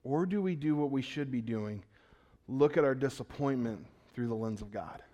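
A man preaching a sermon in a conversational way, asking his congregation questions and swinging between loud, urgent lines and hushed ones. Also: medium pitch, expressive, moderate pace, dull audio. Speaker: pastor.

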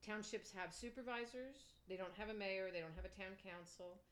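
A woman's voice: medium pitch, normal speed, a bit bright. An older woman from Pennsylvania, a township supervisor, chatting casually in an interview and explaining local government plainly.

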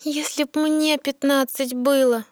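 Wistful mermaid girl of fifteen, high and unhurried, dreamy fairy-tale verse. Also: steady tone, no room sound, clean studio sound. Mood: wistful longing. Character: wistful fifteen-year-old mermaid princess, girlish and dreamy.

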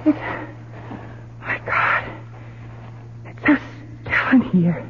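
An actress playing an anxious but loyal wife on an expedition, questioning and concerned, in old-time radio drama style. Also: female voice, drawn-out words, a bit bright, a little hiss or hum. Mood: uneasy. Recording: thin narrow-band old radio recording.

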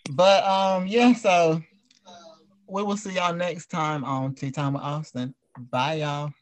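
A man's voice: a little high, steady tone, slow pace. A man hosting a casual talk show, chatting in a playful, teasing, slangy way. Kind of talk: casual chat with guests.

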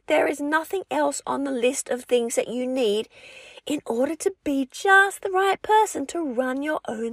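A woman's voice: high-pitched, a bit bright, no room sound, clean studio sound. Upbeat Australian woman coaching tutors. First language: English. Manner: upbeat, reassuring, emphatic. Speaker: language session coach. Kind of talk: encouraging talk to aspiring tutors.

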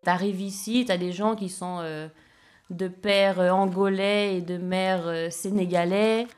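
A woman speaking casually and enthusiastically in an interview, in a relaxed, slangy way. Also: medium pitch, measured pace, clear, resonant tone.

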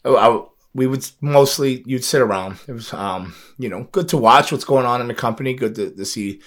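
A man's voice: mid-range voice, fairly quick, a bit bright. A middle-aged man hosting a casual interview, talking in a loose, rambling way with plenty of fillers and restarts. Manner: laid-back, rambling with many restarts and fillers. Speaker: podcast host and former wrestler.

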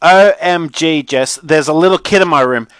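A man's voice: a little high, moderate pace, a bit bright, smooth, no echo, voice pushed up, lightly acted. A man voicing a spoiled, bossy teenage girl for a children's audiobook, whiny and melodramatic. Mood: exasperated. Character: spoiled, dramatic, indignant teenage girl on the phone.

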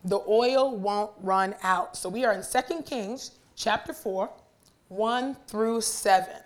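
A young woman preaches from the pulpit, her voice raspy and worn hoarse from a weekend of talking. She chats with the congregation between points and prays aloud. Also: medium pitch, slow pace. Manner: chatty and warm with the congregation, asking for responses. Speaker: guest preacher. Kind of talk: sermon with prayer. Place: church sanctuary.

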